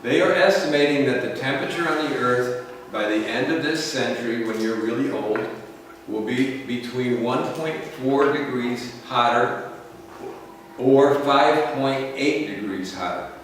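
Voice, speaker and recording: An American man giving a slow, explanatory lecture to a school class, often checking in with "okay?". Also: mid-range voice, slight background noise. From United States. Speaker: English teacher. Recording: echoey classroom from far off.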